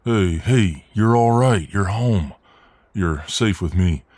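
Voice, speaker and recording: A man's voice: lowish voice, takes it slowly, darkish tone, no room sound. A man acting out a friendly, protective, cocky Southern US mailman in an ASMR role-play, with a folksy, soothing drawl. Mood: reassuring, concerned.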